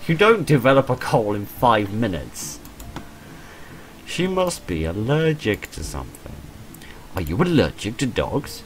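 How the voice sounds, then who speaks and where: Man reading a blunt young woman, lively intonation. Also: medium pitch, unhurried, slight background noise, pitched above the performer's own voice. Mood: suspicious, probing. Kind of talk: visual novel character reading.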